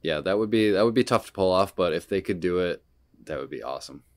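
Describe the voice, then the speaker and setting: A man with a very low, smooth voice talks casually as a podcast co-host. The sound is dry and free of room echo. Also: expressive, fast. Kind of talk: casual chat.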